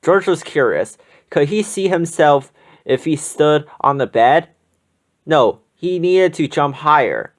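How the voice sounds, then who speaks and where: A storyteller reading a children's picture book aloud in an expressive way. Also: medium pitch. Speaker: storybook reader.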